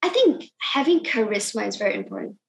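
A woman of twenty from Malaysia, a Cantonese native speaking English, answering interview questions in a soft, hesitant way with frequent ums. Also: a little high, big pitch swings, fast, vocal fry. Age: 20s. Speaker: singer guest.

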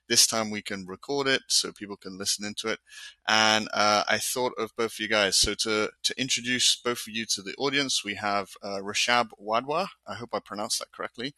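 Bright-voiced man hosting a casual live talk. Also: on the low side, dry recording, poor audio. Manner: relaxed, rambling, frequent fillers and laughs. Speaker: host of an architecture talk. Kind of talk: casual live online discussion.

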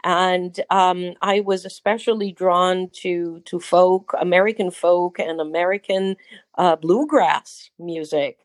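A middle-aged woman with an Israeli accent talks about her life as a rabbi and cantor. Her voice sits somewhat low and sounds close and dry. She speaks effusively and often restarts her phrases. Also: steady tone, slow, no echo. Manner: warm, effusive, with frequent restarts. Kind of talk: conversational interview answer.

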